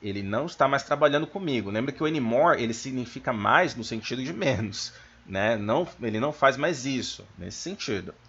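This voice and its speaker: A man with a Brazilian accent giving an informal language lesson as a teacher, explaining things and slowing down to enunciate his examples. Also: mid-range voice, lively intonation, a bit bright, slightly rough. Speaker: English teacher. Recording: dull, lo-fi microphone sound.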